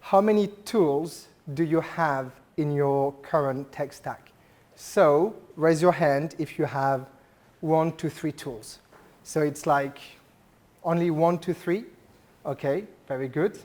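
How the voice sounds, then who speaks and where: A man's voice: on the high side, darkish tone. Man, French accent, business event talk, chatty, asks the crowd questions. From France. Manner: engaging, polls the audience with questions; conversational, frequent "uh" and "right?". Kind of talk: conference talk to a live audience. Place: event venue with an audience.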